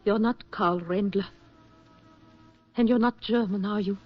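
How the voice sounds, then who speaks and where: Actress as slow, husky-voiced devoted lover, 1940s radio. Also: female voice, mid-range voice, dull audio, soft background music, lightly acted. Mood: wounded, pleading. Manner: earnest, emotional, measured delivery. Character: devoted woman in love, anxious, tender, protective. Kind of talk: 1940s radio drama acting.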